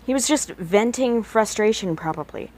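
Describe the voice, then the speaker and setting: A woman's voice: mid-range voice, lively intonation, normal speed, a little mellow. A woman narrating a story as an amateur audiobook reader, working from a written script. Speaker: podfic reader.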